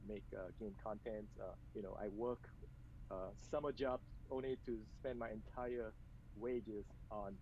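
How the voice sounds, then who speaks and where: A man from Malaysia, a games executive, answering interview questions over a video call in a soft, hesitant way with many pauses and fillers. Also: fairly low pitch, steady tone, a little hiss or hum. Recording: muffled video-call audio.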